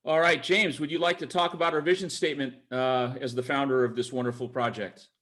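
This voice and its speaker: A man's voice: mid-range voice, fairly even intonation, fairly quick, a bit bright. Chatty man hosting a team livestream. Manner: loose, filler-heavy introductions. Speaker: host, business development.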